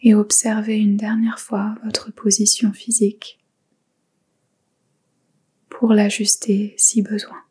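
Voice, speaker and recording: A woman guiding a yoga nidra meditation in a soft whisper, giving slow, calm instructions. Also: medium pitch, breathy edge. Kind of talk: guided meditation.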